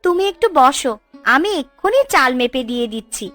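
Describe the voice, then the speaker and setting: Woman as a high-voiced, firm village shopkeeper wife. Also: animated, fairly quick, a bit bright, soft background music. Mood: helpful. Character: firm, practical village wife keeping a family shop. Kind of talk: Bengali cartoon dubbing.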